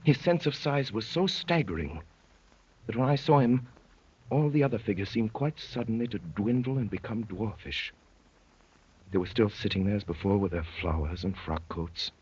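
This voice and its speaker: An actor playing a tense undercover spy, narrating ominously in the style of 1930s radio drama. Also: male voice, fairly low pitch, expressive, normal speed, darkish tone, husky. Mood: grave, uneasy. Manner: dramatic, literary, ominous storytelling. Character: tense undercover spy recounting a sinister gathering. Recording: muffled vintage 1930s radio recording.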